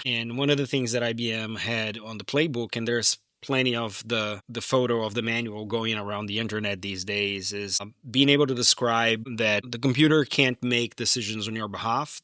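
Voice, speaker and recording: A man hosts a podcast in a casual interview style. His voice is mid-pitched, bright and crisp-edged, recorded dry with no room sound. He tends to restate things and repeat words as he talks. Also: no room sound. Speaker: podcast host.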